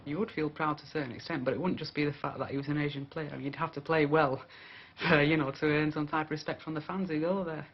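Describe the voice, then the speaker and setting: A man's voice: fairly high pitch, steady tone, quick pace, hardly any pauses, noisy background. A man born in Britain, a football fan, giving thoughtful interview answers with some hesitation, on dull 1980s documentary audio.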